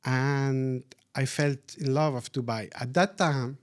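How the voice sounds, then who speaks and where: A man's voice: medium pitch, fairly even intonation, takes it slowly, clear, resonant tone. A middle-aged man born in Syria, speaking as a guest in a casual interview and often repeating words as he thinks. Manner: thoughtful, repeats words while searching for them.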